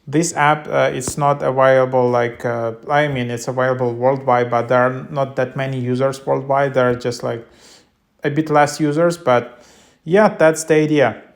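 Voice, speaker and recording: Man giving a casual, even-toned app tutorial. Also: medium pitch, normal speed, creaky. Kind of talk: casual unscripted tutorial narration.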